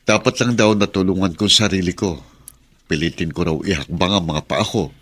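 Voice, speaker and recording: A man's voice: deep voice, moderate pace, fairly bright tone. An actor playing a tender, emotional husband and father who cannot walk, in a radio drama. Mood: hopeful. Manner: tender, pleading.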